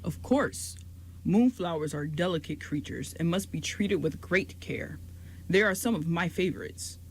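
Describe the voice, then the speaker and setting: A woman playing a gentle, caring old male giant in an amateur radio drama. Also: on the low side, unhurried, a little hiss or hum. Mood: kindly.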